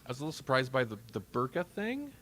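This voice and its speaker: A man's voice: mid-range voice, wildly swooping pitch, fast talker, fairly bright tone. A man co-hosting a podcast, talking casually in a rambling, self-interrupting way. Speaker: podcast co-host. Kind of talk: casual review chat.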